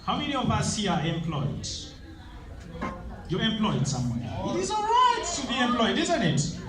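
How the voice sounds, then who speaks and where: A man's voice: sits high, moderate pace. A man from Uganda, presenting a motivational seminar and drilling the audience with call-and-response questions. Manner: energetic, rousing, drills the crowd with repeated questions. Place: large hall with audience. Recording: echoey hall, distant mic, crowd noise.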